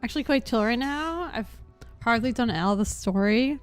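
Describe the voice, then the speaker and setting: A young woman streams a game with a fairly high voice. Her talk is casual and rambling, often unsure and informal, with soft music playing behind her. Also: lively intonation, normal speed. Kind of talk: casual live stream chat.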